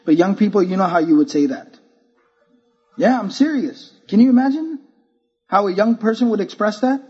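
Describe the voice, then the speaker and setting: Preacher with a husky voice. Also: male voice, sits high, expressive, normal speed, darkish tone. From Texas. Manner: casual, joking and earnest, talks openly. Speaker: speaker at a religious conference. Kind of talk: sermon to a youth-focused audience. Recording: muffled, dull lo-fi sound.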